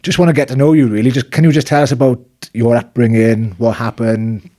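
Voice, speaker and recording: Laid-back Welsh podcast host. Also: male voice, medium pitch. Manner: relaxed, slightly halting introductions. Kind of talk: casual podcast hosting.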